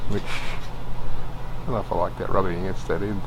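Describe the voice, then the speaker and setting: A man's voice: low voice, little pitch movement, moderate pace. A man thinking aloud in an unscripted, hesitant walk-around commentary, musing as he shows things around him. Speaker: vlogger showing repairs and farm work. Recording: noisy ambient hum, handheld walk-around recording.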